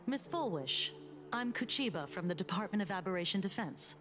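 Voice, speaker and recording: A woman's voice: mid-range voice, animated, fairly quick, background noise, natural voice. An actress playing a composed, businesslike female government agent in a video game. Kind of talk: game voice acting.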